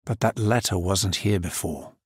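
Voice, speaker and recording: An actor playing a grave, solemn necromancer hero in a fantasy video game, speaking in a measured, formal manner. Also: male voice, low voice, husky, dry recording, clean studio sound. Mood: grave, restrained. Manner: measured, somber, formal delivery. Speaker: video game player character. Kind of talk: scripted fantasy game voice lines.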